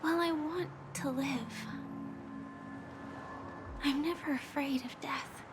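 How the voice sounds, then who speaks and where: A woman voicing a gentle, wistful young woman warrior in a video game, speaking solemnly and often in a hushed, breathy tone. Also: sits high, fairly even intonation, slow pace. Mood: solemn, resigned. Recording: loud music behind the voice.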